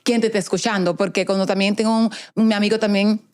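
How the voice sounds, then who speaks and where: A woman's voice: mid-range voice, fairly even intonation, fast talker, darkish tone. A young woman with a Dominican accent, chatting casually as an interview guest, mixing Spanish and English and laughing often. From Dominican heritage, raised in US Virgin Islands. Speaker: guest, media personality. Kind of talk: casual bilingual interview chat.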